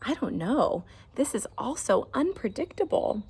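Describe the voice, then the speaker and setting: A woman's voice: on the high side, big pitch swings, unhurried, darkish tone, slightly rough, voice pushed up, exaggerated voice. A woman voicing a mild, cautious husband in a storybook, earnest and a little bewildered. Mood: surprised. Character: mild, cautious, easily amazed ordinary husband.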